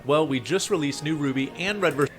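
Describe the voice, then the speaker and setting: A man's voice: a little high, brisk, breathy edge. A man delivering a promotional merchandise read in an advertising style. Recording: very loud background noise.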